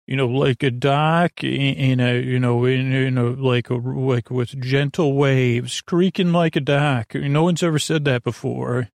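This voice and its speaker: A man with a creaky, soothing voice, hosting a bedtime podcast in a halting, meandering monologue full of tangents. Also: mid-range voice, steady tone, no echo, studio quality. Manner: lulling, soothing. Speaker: podcast host.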